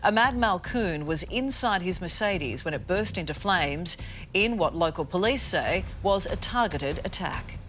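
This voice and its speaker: Female news reporter, crisp formal delivery, archive clip with faint noise. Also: lowish voice, lively intonation, unhurried, a bit bright.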